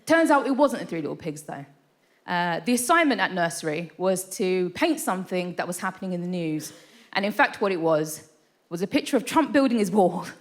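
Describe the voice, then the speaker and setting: Woman, 30s, stand-up comic, lively pitch, self-deprecating, live stage mic. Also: a little fast. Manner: chatty, self-deprecating, frequent "right?" asides. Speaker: stand-up comedian. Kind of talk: stand-up set. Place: live theatre stage with audience.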